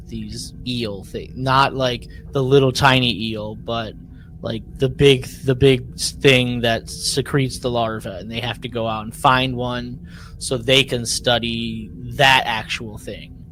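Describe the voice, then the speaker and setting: A man talks casually as a podcast co-host, recapping in loose, conversational fashion. He starts phrases, repeats them and rewords as he goes. His voice sits at an average male pitch, with some noise behind it. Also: a bit bright, rough recording.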